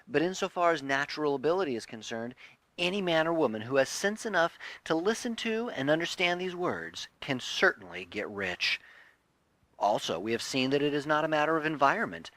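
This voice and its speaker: A man narrating a self-help audiobook in a deliberate, emphatic, instructive way. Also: on the high side, very animated, fairly bright tone, no room sound. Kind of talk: scripted audiobook reading of a self-help text.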